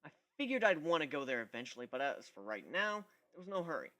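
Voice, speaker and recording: A man's voice: on the high side, big pitch swings, fast talker, bright, forceful tone. A man giving an amateur first-person dramatic reading of a story in a wry, conversational manner. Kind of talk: first-person dramatic narration of fan fiction.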